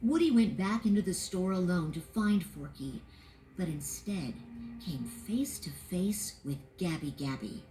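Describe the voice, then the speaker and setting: A woman narrating a children's read-along storybook in an expressive, sing-song way. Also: lowish voice, measured pace, slight background noise, poor audio.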